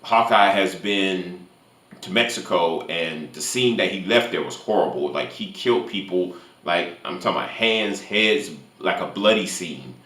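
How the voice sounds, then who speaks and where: Low-voiced man recapping, in a roomy echo. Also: measured pace, a bit bright. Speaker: film review channel host. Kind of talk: casual movie recap with spoilers. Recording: roomy echo, faint music behind.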